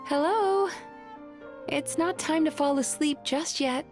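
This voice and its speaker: A high, sweet-pitched young woman speaks as a gentle therapist in a video game. She is soothing and polite, with a playful, teasing streak. Soft background music plays under her voice. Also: slow pace. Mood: gently prompting. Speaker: game character.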